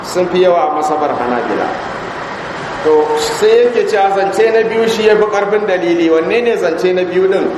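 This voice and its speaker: A man with a Nigerian Hausa accent gives an Islamic lesson in a fairly high voice. He explains a text didactically, often posing questions to those listening. The recording has long hall reverb and clear background noise. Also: animated, fairly quick. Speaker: Islamic scholar teaching a class. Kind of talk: religious lesson explaining a text, reading Arabic and commenting. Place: large echoing mosque interior.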